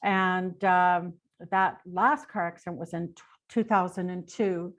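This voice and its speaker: A woman's voice: fairly low pitch, steady tone, a little slow, a bit bright. An older woman with a Canadian accent, speaking as an interview guest in an informal, rambling, anecdotal way. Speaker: interview guest, retired police dispatcher. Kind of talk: conversational personal storytelling.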